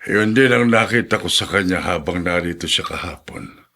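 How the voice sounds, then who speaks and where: A man acting an elderly, compassionate, grave father in a radio drama, with a husky voice. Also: deep voice, normal speed, sharp tone. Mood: grave sympathy.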